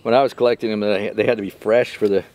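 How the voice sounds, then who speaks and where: A man's voice: fairly low pitch, fairly even intonation, quick pace, darkish tone. An older man, a mushroom club member, chatting casually on a forest walk in a rambling way, recorded outdoors by a nearby camera mic. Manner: rambling, chatty, acts out calls in a raised voice.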